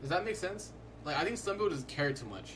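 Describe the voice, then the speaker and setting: A man's voice: fairly high pitch, very animated, quick pace, a bit bright, a little hiss or hum. A young man hosting a live stream, chatting casually in a laid-back, rambling way and filling his talk with "like". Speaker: livestreaming day trader.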